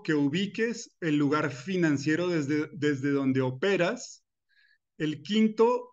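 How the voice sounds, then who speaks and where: A man's voice: fairly high pitch, highs cut off. A middle-aged man from Colombia teaching a personal finance masterclass, talking earnestly and personally, with "eh" fillers and restarts. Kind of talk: recorded masterclass lecture.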